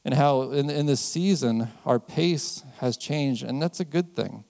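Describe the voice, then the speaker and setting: A man's voice: medium pitch, creaky, a little mellow. Conversational American male pastor. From United States. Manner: conversational, laughs often, slows down on key phrases. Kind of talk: sermon.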